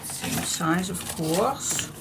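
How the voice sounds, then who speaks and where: A woman hosting a papercraft tutorial, chatting casually while she works, her voice a little froggy and hoarse. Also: on the low side, background noise. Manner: chatty, slow and relaxed, talks to herself while she works. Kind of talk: casual crafting tutorial talk.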